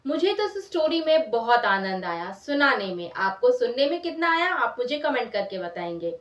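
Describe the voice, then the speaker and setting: A woman's voice: on the high side, very animated, moderate pace, fairly bright tone. A woman telling a moral story expressively in everyday, conversational words, repeating phrases for emphasis. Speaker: storyteller, channel host. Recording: roomy echo, distant from mic.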